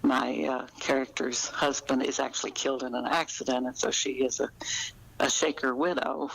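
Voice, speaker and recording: Older female author, noticeable vocal fry, thoughtful conversational delivery with pauses. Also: a bass voice, fairly even intonation, low-quality recording. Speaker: author being interviewed. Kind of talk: conversational interview.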